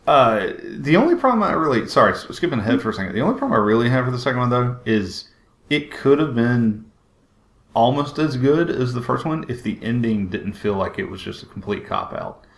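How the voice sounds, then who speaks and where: A man's voice: medium pitch, sing-song, a little fast, creaky. A man hosting a podcast, chatting casually about films. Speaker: podcast host. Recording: roomy, echoing sound.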